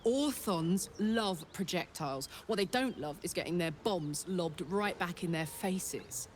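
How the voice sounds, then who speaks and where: A woman's voice: lowish voice, a little slow, soft background music, natural voice. A woman playing a brash, hearty tiefling warrior in a video game, with a blunt, sweary, exuberant manner. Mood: animated, confident. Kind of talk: video game character dialogue.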